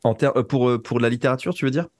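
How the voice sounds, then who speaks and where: A man's voice: mid-range voice, quick pace, a little mellow, dry recording, studio quality. A man explains things casually as an interview guest, often hesitating and repeating words as he looks for the right phrase. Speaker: interview guest, writer of audio fiction.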